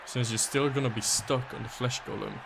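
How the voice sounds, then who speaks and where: A male caster calls a live video game match in a raspy, worn-out voice with a gravelly edge. He walks through each play out loud, weighing options as he goes. A faint noise sits under the recording. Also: medium pitch, steady tone, fairly quick. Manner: analytical, thinking aloud, thanks viewers. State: hoarse.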